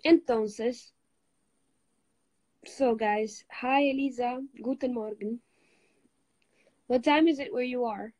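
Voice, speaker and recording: A woman's voice: a little high, slow, long pauses, dry recording, poor audio. A young woman from Switzerland, first language Italian, chatting casually with viewers on a phone livestream while lying on a bed. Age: young adult. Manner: greets viewers, switches languages, relaxed and chatty. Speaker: livestream host. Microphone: phone held at arm's length.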